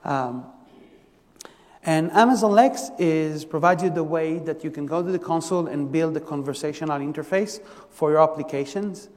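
A man with an Israeli accent explains software services to a conference audience. His voice sits a bit high for a man, and he stops and restarts often, filling gaps with ums. The sound trails off in the long reverb of a large hall. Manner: halting, many fillers and restarts. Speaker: technical presenter. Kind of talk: conference tech talk.

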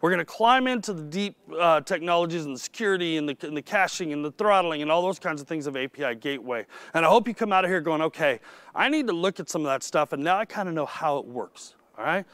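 Hoarse middle-aged man giving a joking tech talk. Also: a little high, lively intonation, fast talker, a bit bright, no room sound. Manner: jokey and self-deprecating, talks to the audience. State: losing his voice, clears his throat. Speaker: conference speaker. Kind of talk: technical conference talk.

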